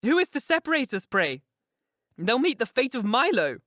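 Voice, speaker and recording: A reader voicing a headstrong young woman, pitched well above the narration and pushing hard on her words. She is impetuous and declamatory, caught up in passion. The recording is dry and close, with no room around it. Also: expressive, moderate pace, fairly bright tone, no echo. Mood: vehement. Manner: intense, emphatic, declamatory. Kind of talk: audiobook character reading.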